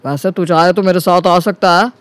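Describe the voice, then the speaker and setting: A male actor plays a cocky young smooth-talker from an animated story. His voice sits high, and he is glib and boastful, buttering up his elders. The sound is dry and close. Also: normal speed, no echo. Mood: teasing. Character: cocky, flattering young man sucking up to elders. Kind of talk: animated Hindi story character acting.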